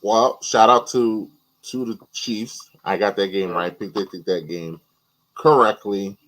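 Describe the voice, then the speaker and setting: Low-voiced male podcast guest, animated. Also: unhurried, rough recording. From New York. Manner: animated, repeats words, laughs along. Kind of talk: casual chat.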